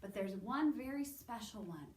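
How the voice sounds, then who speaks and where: A woman with a Canadian accent presenting to young children as a story time host, in an animated way with simple, drawn-out wording. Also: medium pitch. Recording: roomy, distant mic with background noise.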